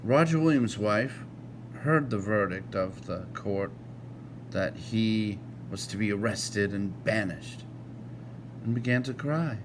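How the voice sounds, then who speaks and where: An American man reading aloud informally like a read-along host, halting at times and correcting himself as he goes. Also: on the low side, noisy background.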